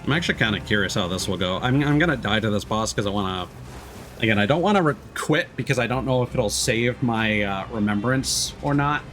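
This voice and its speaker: A man's voice: lowish voice, expressive, brisk, a bit bright, faint music behind. A man giving casual, laid-back live commentary as he plays a video game, explaining things as he goes.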